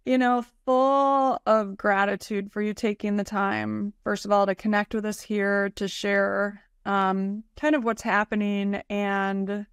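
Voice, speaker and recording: A woman's voice: mid-range voice, little pitch movement, measured pace, few pauses, clear, resonant tone, dry recording. A middle-aged woman hosting an interview podcast, talking conversationally in an effusive, earnest way into a close desk microphone. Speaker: podcast host. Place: indoor room, video call.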